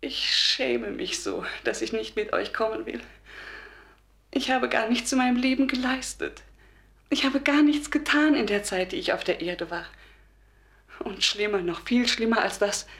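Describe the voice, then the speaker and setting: An actress playing the humble, ashamed spirit of a dead wife in a German radio play, earnest and subdued. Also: female voice, fairly high pitch, moderate pace, vocal fry, fairly bright tone. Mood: ashamed confession.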